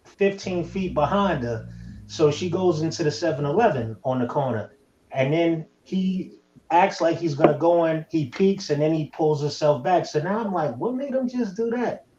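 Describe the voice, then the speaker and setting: Animated New man on a call. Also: on the high side, reverberant, dull audio, a little hiss or hum. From New York. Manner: animated storyteller. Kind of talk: casual chat.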